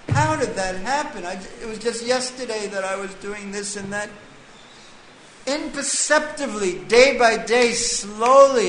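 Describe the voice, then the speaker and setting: Male scripture teacher, slightly high voice, very slow and deliberate delivery, echoey room. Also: fairly bright tone, a little hiss or hum. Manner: slow, deliberate, reads text then explains. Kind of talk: scripture lecture.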